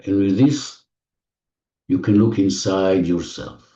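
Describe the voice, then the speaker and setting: A man leading a guided visualization in non-native English phrasing, giving gentle, repetitive instructions with deliberate pauses, recorded muffled and distant in an echoey room. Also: low-pitched, steady tone. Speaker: guided meditation leader. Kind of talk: guided visualization, spoken slowly to a listener.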